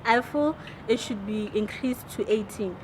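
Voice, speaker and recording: A woman in her twenties from Botswana, telling her story in an animated way. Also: medium pitch, measured pace, slight background noise, poor audio. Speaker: interviewee. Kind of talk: personal testimony.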